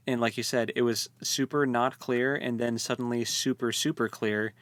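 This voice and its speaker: Man, average pitch, dry close sound, conversational, rambling, casual book discussion. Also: fairly even intonation, moderate pace, a bit bright, dry recording. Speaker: podcast co-host.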